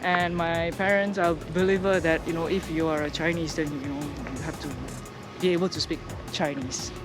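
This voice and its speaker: A woman's voice: lowish voice, fairly even intonation, moderate pace, over music. A young adult woman from Indonesia, a guest in a casual interview, speaking hesitantly with many fillers and restarts.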